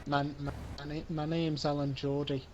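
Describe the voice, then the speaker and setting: A man with a somewhat high voice improvises casual roleplay replies. He stammers and repeats words, with clear noise behind him. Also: little pitch movement, normal speed, a little mellow, lo-fi sound. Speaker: player roleplaying a monster truck driver. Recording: clearly noisy background.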